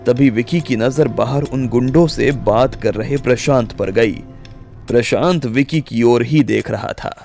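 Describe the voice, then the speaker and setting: A man's voice: medium pitch, unhurried, vocal fry, a little mellow. A man narrating a scripted audio drama story as its storyteller. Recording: music bed under the voice.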